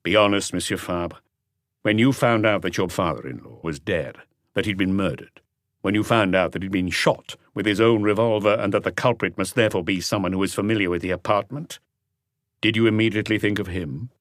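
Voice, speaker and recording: A man voicing a calm police inspector in an audiobook, questioning in a measured, quietly firm and probing way. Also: lowish voice, no echo, studio quality, natural voice.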